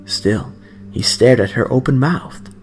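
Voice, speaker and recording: A man's voice: mid-range voice, a little slow, darkish tone, slightly rough, rough recording. A man narrating an audiobook story in a dramatic, scripted reading style. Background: background music.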